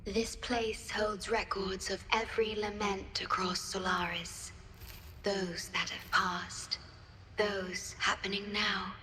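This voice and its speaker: Solemn game guardian: gentle, devoted, formal and breathy, medium pitch, roomy echo. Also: female voice, little pitch movement, slow pace, a little hiss or hum. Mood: solemn, tender. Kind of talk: scripted video game cutscene acting.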